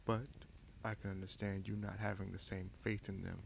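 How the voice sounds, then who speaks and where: A man playing a vengeful, brooding bastard prince and sorcerer in a fantasy audio roleplay, speaking in a measured, solemn, halting way. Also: low voice, little pitch movement, creaky, a little hiss or hum. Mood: solemn, earnest.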